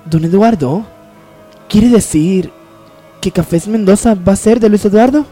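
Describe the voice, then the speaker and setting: Actress as possessive girlfriend, mellow mid-pitched voice, pointed questions, radio soap style. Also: a little slow, faint music behind, lightly acted. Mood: suspicious. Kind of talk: radio soap opera acting.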